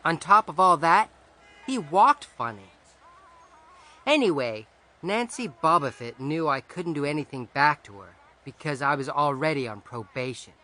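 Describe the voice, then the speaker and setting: A man narrating an audiobook in the first person as a boy, conversational, wry and expressive. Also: a little high, normal speed. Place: dry studio.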